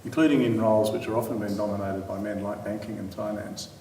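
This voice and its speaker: Measured male moderator in an echoey hall. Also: fairly low pitch, steady tone, mellow, slight background noise. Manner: thoughtful, measured questioning. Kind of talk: panel discussion. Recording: echoey hall, distant mic.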